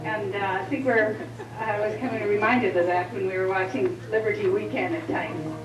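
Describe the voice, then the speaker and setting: A woman's voice: medium pitch, steady tone, normal speed, lo-fi sound. A woman making casual, chatty remarks to a family reunion crowd. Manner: chatty, with fillers. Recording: echoey hall, mic far off.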